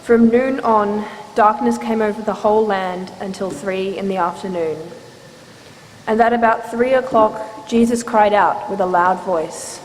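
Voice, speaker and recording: A woman reads scripture narration in a solemn, measured way at a middle pitch. Her words carry long church reverb, with faint noise behind them. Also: steady tone. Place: church, live reading.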